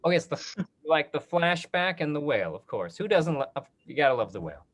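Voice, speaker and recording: A man's voice: fairly high pitch, very animated, fairly quick, a bit bright. A man moderating a casual discussion in an encouraging, affirming way.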